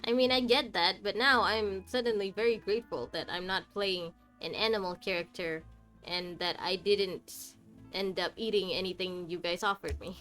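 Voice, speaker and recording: A woman streamer chats casually through a game playthrough at a medium pitch. She is wry and teasing, swears freely, and has faint game music behind her. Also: steady tone, normal speed, a bit bright. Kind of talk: casual live playthrough commentary.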